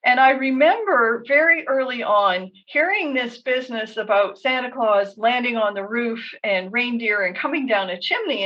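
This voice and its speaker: A Canadian woman tells stories in a conversational way, her voice sitting somewhat high. She phrases things with measured emphasis. The recording is slightly dull, as if she sits a little back from the microphone. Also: recorded from a distance. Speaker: podcast co-host. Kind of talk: conversational storytelling.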